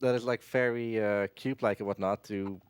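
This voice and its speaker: A man's voice: on the low side, fairly even intonation, fairly quick, clean tone. A man giving casual live commentary on his own speedrun at a gaming marathon. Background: game music.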